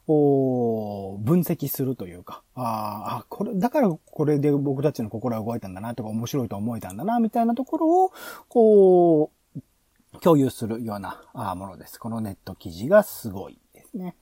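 Animated man with a slightly husky voice. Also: on the high side, no room sound. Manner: halting and filler-filled, thinking aloud. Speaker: podcast host. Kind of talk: explaining show ideas in a planning talk.

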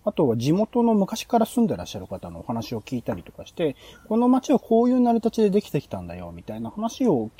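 A man's voice: fairly high pitch, huge pitch swings, quick pace, hardly any pauses, dark tone, slightly rough. A man on a podcast in casual brainstorming talk, explaining his ideas in long, run-on clauses. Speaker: podcast host.

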